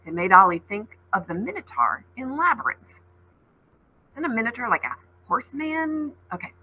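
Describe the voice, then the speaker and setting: A woman's voice: a little high, very animated, moderate pace, phone-quality sound, a little hiss or hum. A woman teacher reading a story aloud dramatically, with conversational asides to explain it.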